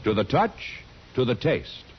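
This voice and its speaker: A man reading a 1950s radio advertisement in a polished, deliberate announcer's delivery. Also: medium pitch, very animated, husky, faint music behind. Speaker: commercial announcer. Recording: dull 1950s radio recording, faint noise.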